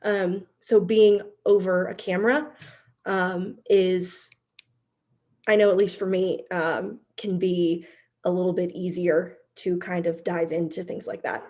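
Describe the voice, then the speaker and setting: A woman speaking casually and haltingly in an online panel discussion, thoughtful and hesitant as she goes. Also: fairly low pitch, fairly even intonation, a little mellow. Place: online video call.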